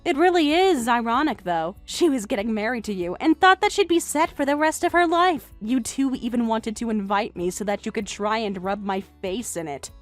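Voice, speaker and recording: Woman playing mocking young woman, condescending, self-assured, melodramatic story dialogue, animated intonation. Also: fairly high pitch, moderate pace, few pauses, no room sound, faint music behind, natural voice. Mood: smug, bitter. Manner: sarcastic, condescending.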